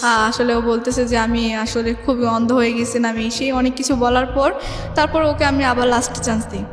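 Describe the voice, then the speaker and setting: A woman's voice: on the high side, level tone, moderate pace. A young woman with a Bangladeshi accent telling her life story as an interview guest in rambling, colloquial speech. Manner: rambling, colloquial, often recounts reported speech. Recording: echoey reverberant room, music underneath.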